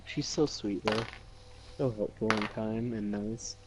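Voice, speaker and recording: A man's voice: on the low side, measured pace, creaky. A man chatting casually as a player in a tabletop game, joking in a blunt, sweary way. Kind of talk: casual chat. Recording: faint background noise.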